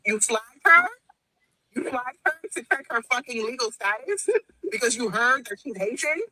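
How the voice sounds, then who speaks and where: A woman's voice: fairly high pitch, bright, forceful tone, dry recording, low-quality recording. A woman with a West Indian accent tells a story in an angry, profane rant and acts out each person in it. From West Indies. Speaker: woman in a played social media clip.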